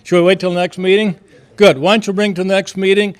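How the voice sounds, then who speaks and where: A man's voice: high voice, flat delivery, fast. A man chairing a club meeting informally, speaking in a polite, hesitant and rambling way.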